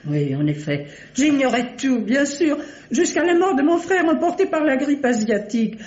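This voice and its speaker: An obsessive woman collector confessing a crime, self-pitying and unrepentant. Her voice is high and theatrical, in old radio mystery style. The recording is dull and reverberant. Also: sing-song, a little mellow. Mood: confessing, grave. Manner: theatrical, emotive, drawn-out confession. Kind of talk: 1950s French radio mystery acting. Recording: dull, echoey vintage radio drama recording.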